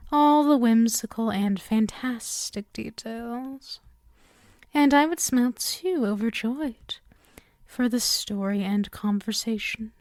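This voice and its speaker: A woman performs a sealed female demon who is clingy and utterly devoted, in an intimate ASMR roleplay. Her voice is fairly high, soft and breathy, often slipping toward a whisper. She speaks very slowly and coaxingly, in a close, dry recording. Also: dry recording. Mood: soothing, devoted. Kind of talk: ASMR roleplay, intimate monologue addressed to listener.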